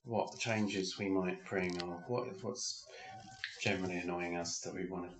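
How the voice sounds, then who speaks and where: A man's voice: low voice, steady tone, normal speed. A man co-hosting a podcast, rambling and hesitant with lots of fillers. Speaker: podcast co-host. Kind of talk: casual chat. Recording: roomy echo with background noise.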